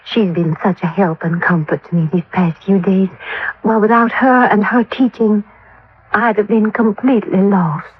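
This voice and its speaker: A woman's voice: medium pitch, normal speed, a little hiss or hum, close to an everyday voice. An actress playing a genteel, middle-aged grieving mother, a dotty believer in spiritualism, speaking earnestly and properly in a radio drama. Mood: wistful, earnest. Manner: earnest, proper, slightly scatterbrained. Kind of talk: 1950s radio drama acting. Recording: tinny vintage radio, band-limited.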